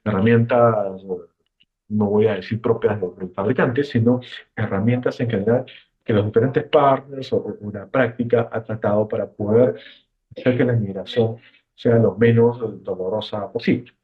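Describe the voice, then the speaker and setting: A man's voice: on the low side, steady tone, moderate pace, slightly rough. A man presenting a webinar, answering questions off the cuff in a careful way, often repeating and rephrasing himself. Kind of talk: unscripted webinar Q&A explanation. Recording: muffled, phone-like webinar audio.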